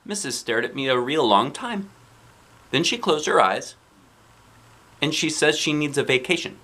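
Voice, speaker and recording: A man reading a children's book aloud as a dad, with playful, expressive, theatrical delivery. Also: fairly high pitch, normal speed. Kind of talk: animated children's storybook narration.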